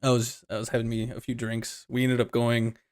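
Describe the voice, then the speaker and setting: A man's voice: medium pitch, completely flat delivery, fairly quick, darkish tone, smooth, dry recording, studio quality. A young man from the United States co-hosting a gaming podcast in a laid-back, chatty way, laughing often. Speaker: podcast co-host. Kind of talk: casual gaming podcast chat.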